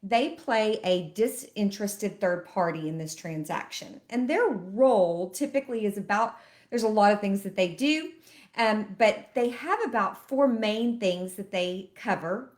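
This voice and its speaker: A woman's voice: medium pitch, animated, unhurried, darkish tone. A middle-aged woman, a loan officer chatting to camera and explaining things step by step, a bit sniffly from allergies. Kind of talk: casual educational talk to camera.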